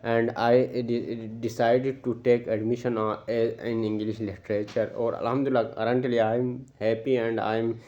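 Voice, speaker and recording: Halting young Pakistani man, Shina speaker. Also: medium pitch, little pitch movement, dark tone, highs cut off. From Gilgit-Baltistan, Pakistan. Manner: halting, many filler pauses and restarts. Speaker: student speaking to camera. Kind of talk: unscripted personal talk.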